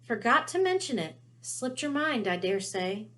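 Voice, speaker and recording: A bullying storybook uncle, acted out by a woman reader. He is cruel and gloating, sneering his threats with forceful menace. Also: a little high, big pitch swings, a little slow, pitched above the performer's own voice. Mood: gloating fury.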